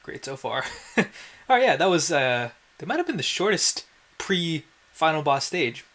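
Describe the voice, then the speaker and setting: A man's voice: fairly high pitch, big pitch swings, a little slow, fairly bright tone. A man giving casual gameplay commentary in a wry, self-deprecating way, with some mild swearing. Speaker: let's play host.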